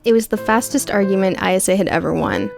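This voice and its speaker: A woman narrates a prose story for an audiobook in a dramatic, scripted read. Her voice sits at an ordinary middle pitch, and soft music runs quietly underneath it. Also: big pitch swings, fairly quick, gravelly.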